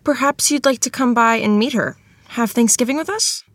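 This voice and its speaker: A woman's voice: fairly high pitch, moderate pace, no echo, natural voice. A woman playing a courteous, eager mother in her late fifties at a table read of a period drama. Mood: hopeful.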